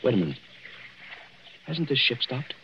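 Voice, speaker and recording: An actor playing an earnest, protective young American newlywed husband in a 1940s radio drama. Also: male voice, mid-range voice, sing-song, normal speed. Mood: puzzled. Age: young adult. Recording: noisy, muffled vintage radio recording.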